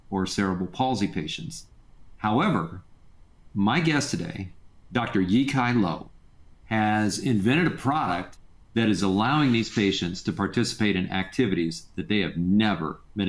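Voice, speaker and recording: A man hosting an interview podcast, moving between scripted introduction and questions to a guest. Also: lowish voice. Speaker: podcast host.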